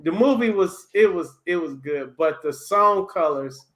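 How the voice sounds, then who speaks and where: Emphatic man host, slightly high voice. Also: lively intonation, measured pace, fairly bright tone. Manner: emphatic, checks in with "right?". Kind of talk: casual interview chat.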